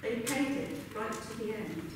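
An older woman reciting a narrative poem at a remembrance service, earnest, in a measured storytelling cadence. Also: fairly high pitch. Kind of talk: poetry recitation. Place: large indoor hall with a congregation. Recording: very echoey hall, distant mic, background noise.

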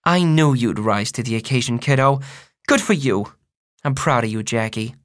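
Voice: medium pitch, animated, normal speed, dry recording, studio quality, lightly acted. A narrator voicing a firm, caring mother who admonishes her sons in an audiobook. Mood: knowing. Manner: earnest, admonishing. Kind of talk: audiobook character voice.

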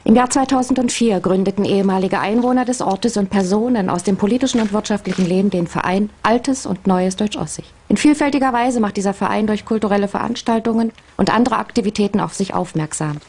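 A woman's voice: mid-range voice, quick pace, few pauses, darkish tone. A woman reading scripted documentary narration in a formal, informative way. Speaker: narrator for a local association.

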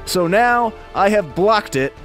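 A man's voice: sits high, lively intonation, slow pace, a bit bright, faint music behind, voice pushed up, heavily acted. A man voicing a mischievous, wronged stuffed alligator villain in a children's storybook, grandiose and accusing. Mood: gloating, aggrieved.

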